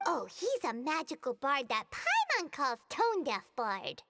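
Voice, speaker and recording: A woman voicing a tiny, chirpy, cheeky fairy companion with a squeaky childlike voice in an anime game. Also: huge pitch swings, unhurried, very noisy, heavily acted. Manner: refers to self in third person, exclamatory. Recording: loud music behind the voice. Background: loud playful game music.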